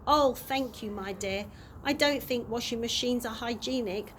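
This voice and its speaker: A woman's voice: fairly high pitch, a little slow, close to an everyday voice. A woman reading the part of a cheerful, vain male tramp in a storybook, with polite, old-fashioned manners. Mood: delighted, grand. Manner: polite, old-fashioned, grandly self-pleased. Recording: audible background noise.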